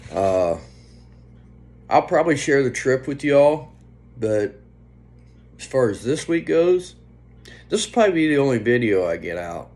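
A man in his fifties chatting casually to a phone at home, unhurried and meandering, often trailing off. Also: mid-range voice, sing-song, a little mellow. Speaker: vlogger. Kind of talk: casual rambling vlog chat. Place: indoors, small room at home. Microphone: phone at arm's length. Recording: faint background hum on phone recording.

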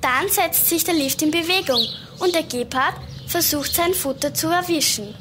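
A woman playing a young, animal-loving, excitable girl in a German children's audio drama, earnest and explanatory. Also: high voice, moderate pace, background noise, natural voice. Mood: eager explaining.

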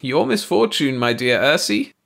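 A man's voice: mid-range voice, sing-song, moderate pace, creaky, fairly bright tone, voice pushed up, heavily acted. A man voicing a smug bald male alien who lectures his companion on the law with mocking precision. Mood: lecturing.

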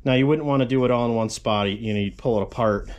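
A man's voice: mid-range voice, fast, dull audio. A man in his forties, a fabrication channel host, casually explaining a metal shop tool in his home garage, rambling with frequent asides. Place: cluttered home garage workshop with tarp walls.

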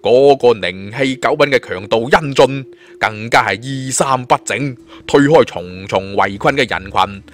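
A man telling a suspense fantasy story in an expressive, colloquial, dramatic style. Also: medium pitch, measured pace. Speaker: audiobook storyteller.

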